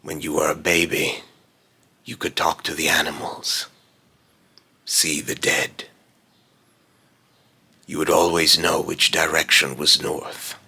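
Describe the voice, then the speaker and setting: Man voicing a very deep, raspy, brooding spirit. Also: little pitch movement, bright, forceful tone, heavily acted. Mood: wistful, brooding. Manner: slow, deliberate, solemn. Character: Phobos, brooding otherworldly male spirit of fear and death. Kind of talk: voice line recording with retakes.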